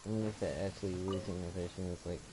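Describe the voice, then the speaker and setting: A young man giving casual, informal gameplay commentary, often halting and repeating his words. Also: sits low, flat delivery. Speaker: gaming streamer. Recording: noisy home microphone, clicks.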